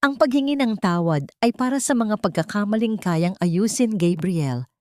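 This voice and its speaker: A woman voicing a wronged wife who speaks with calm, cold firmness in a dramatic story. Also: mid-range voice, moderate pace, no echo, clean studio sound, voice pushed up, lightly acted. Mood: cold, resolute. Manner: calm, cold, sharp-worded.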